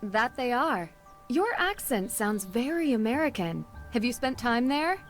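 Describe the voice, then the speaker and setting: A young female sleuth in an adventure game. Her voice sits fairly high, and she speaks in a polite, friendly, curious manner. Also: big pitch swings, a little slow, soft background music.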